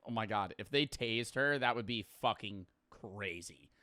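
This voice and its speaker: A man's voice: lowish voice, moderate pace, sharp tone, a little hiss or hum. A man doing casual, slangy, joking reaction commentary with plenty of swearing. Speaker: host reacting to a video.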